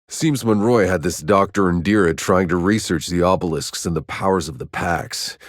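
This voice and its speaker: A man's voice: sits low, no echo. An actor playing a hardened, weary male soldier in a sci-fi video game, speaking in a terse, dry, sardonic way. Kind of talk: scripted game voice lines.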